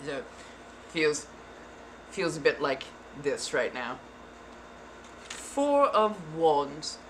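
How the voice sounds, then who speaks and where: A woman's voice: deep voice, big pitch swings, drawn-out words, slight background noise, low-quality recording. A woman hosting live tarot card readings, speaking throughout in a theatrical put-on persona accent and calling her viewers darling. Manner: theatrical, calls listeners darling. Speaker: tarot reader and livestream host.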